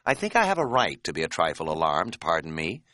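A man's voice: rumbling low, wildly swooping pitch, quick pace, pushed and bright, no echo, studio quality, full character voice. A man voicing an easily alarmed, sarcastic male camper in an audiobook. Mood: hysterical indignation. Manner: fussy, sarcastic, indignant. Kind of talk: audiobook character dialogue.

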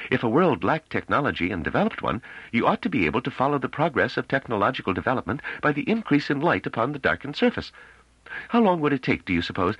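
A man's voice: mid-range voice, a bit bright, slightly rough, no room sound, pitched above the performer's own voice, full character voice. A man voicing a thoughtful, bookish scholar of myths in an audiobook, on a dull recording. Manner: musing, bookish.

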